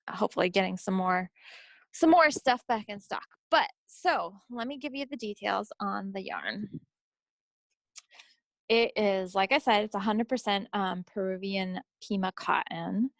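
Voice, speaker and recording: Middle-aged woman with a creaky voice. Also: medium pitch, no echo. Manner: informal, halting, circles back to rephrase. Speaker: podcast host presenting yarn picks. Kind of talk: casual product talk.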